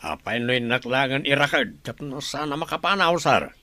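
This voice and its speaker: A man's voice: mid-range voice, expressive, normal speed, sharp tone, no room sound. A man playing a plain-spoken henchman guard in an audio drama, uneasy and deferential. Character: deferential male henchman guard, uneasy but loyal to boss. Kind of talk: Ilocano audio drama acting.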